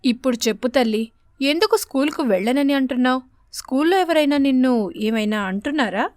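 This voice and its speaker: A gentle mother sparrow , played by a woman from an animated children's tale, with a fairly high voice. She coaxes and soothes tenderly, protective of her child, recorded dry and close. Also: a little fast, no echo. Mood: soothing, coaxing.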